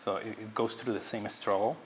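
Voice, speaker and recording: Halting Ecuadorian-born man, low voice. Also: steady tone, a little hiss or hum. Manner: halting, searching for words, many fillers. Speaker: guest artist. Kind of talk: public artist talk. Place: auditorium.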